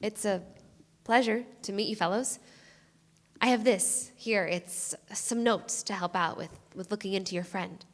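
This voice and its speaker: A woman's voice: medium pitch, measured pace, lightly acted. Lady playing a chatty squeamish island sheriff in a stage play, folksy n polite, echoey hall. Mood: polite, uneasy. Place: live theatre stage. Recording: reverberant live theatre recording.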